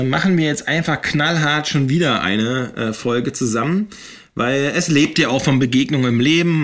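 A man's voice: mid-range voice, fairly bright tone. A man in his forties from northeastern Germany hosting a casual podcast, talking in a rambling way with many fillers and restarts. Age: 40s. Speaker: podcast host.

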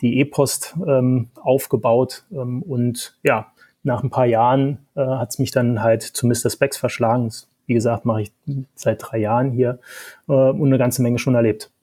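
Hesitant Berlin man, dark husky voice. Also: mid-range voice, level tone, a little slow. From Berlin, Germany. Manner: hesitant, many fillers. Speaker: podcast guest, tech executive. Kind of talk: casual podcast conversation.

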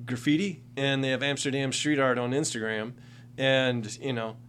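A man's voice: mid-range voice, flat delivery, moderate pace, fairly bright tone. A man co-hosting a casual chat, explaining things in a conversational way. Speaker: podcast co-host. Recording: clear background noise with hum.

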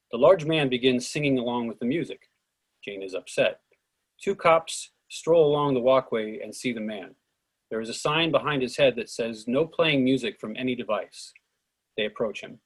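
A man's voice: medium pitch, moderate pace, breathy edge. A man reading stage directions aloud as scripted narration for a screenplay reading.

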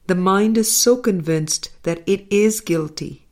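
A woman reading a spiritual book aloud and commenting on it, in a measured, deliberate way with soft, trailing endings. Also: mid-range voice.

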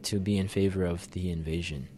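A man with a low-pitched voice co-hosting a conversational show. He talks things through, stopping and restarting often with plenty of ums. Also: fairly even intonation, brisk, mellow, a little hiss or hum. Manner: thoughtful, many fillers and restarts. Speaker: podcast co-host. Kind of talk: casual political discussion.

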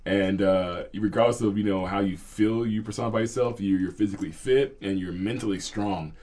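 A man in his thirties hosting a relaxed chat over drinks, with a laid-back way of talking full of asides. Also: lowish voice, brisk. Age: 30s. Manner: laid-back, keeps adding "man" and "you know what I'm saying". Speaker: podcast host.

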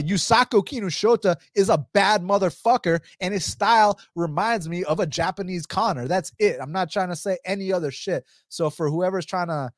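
A man's voice: on the high side, dry recording, studio quality. A young American man giving casual, profane fight-pick commentary, talking straight to his viewers. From United States. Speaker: MMA fight picks commentator.

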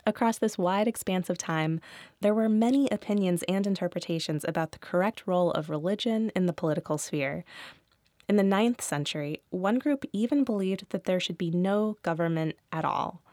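Woman host narrating a podcast in a low voice. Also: moderate pace, darkish tone, no echo, clean studio sound. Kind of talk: scripted narration.

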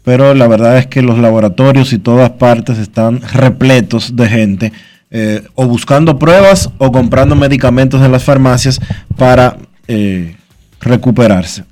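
A man's voice: mid-range voice, a little slow, darkish tone. Man co-hosting, congested. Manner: informative, reads out figures. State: congested with flu. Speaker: radio co-host. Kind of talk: casual radio talk.

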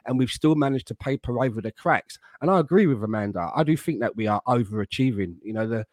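Man, measured, careful, dry close sound, mid pitch. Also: expressive, no room sound. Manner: measured, careful, thinks aloud with restarts. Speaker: fan panel guest. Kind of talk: casual football fan chat.

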